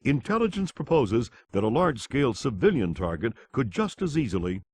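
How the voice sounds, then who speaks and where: An actor playing a sober television news anchor, reading a scripted crisis report for a video game. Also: male voice, mid-range voice, very animated, fairly quick, a little mellow, breathy edge, no room sound. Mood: sober, urgent.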